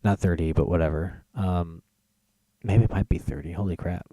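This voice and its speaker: A man's voice: low-pitched, fairly even intonation, moderate pace, warm tone, no echo. A man hosting a podcast, bantering casually about films in a teasing, opinionated way. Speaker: podcast host.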